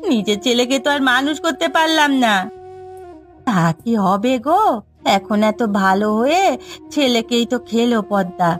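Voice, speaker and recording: A high-pitched village wife played by a woman in a cartoon drama, middle-aged and sharp-tongued. She speaks in a plaintive, scolding way over clear background music. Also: natural voice.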